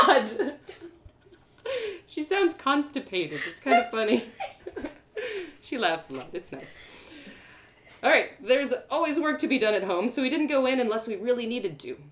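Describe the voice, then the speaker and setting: Expressive woman storyteller with lively pitch. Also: measured pace, pauses often, a little hiss or hum. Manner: expressive, playful. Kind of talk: animated first-person fantasy story narration.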